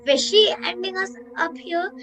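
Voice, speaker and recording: A woman's voice: very high-pitched, fairly even intonation, moderate pace, fairly bright tone, slight background noise. A woman playing a nervous, imaginative young boy in a radio play, anxious and protesting. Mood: doubtful, protesting. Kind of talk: amateur radio play acting.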